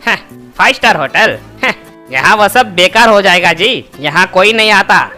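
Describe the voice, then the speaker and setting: A man playing a brash, grudging chicken trader in an animated Hindi story. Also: high voice, moderate pace, faint music behind, exaggerated voice. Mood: dismissive. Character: brash, grudging male chicken trader running a roadside eatery.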